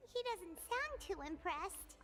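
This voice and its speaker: A woman voicing a tiny, excitable, childlike fairy companion in a video game, with a squeaky voice. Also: slow, exaggerated voice. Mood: cheeky.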